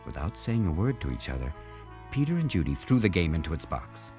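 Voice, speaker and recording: Expressive, low-voiced man reading a storybook. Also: brisk, soft background music. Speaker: children's story reader. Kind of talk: expressive storybook read-aloud narration.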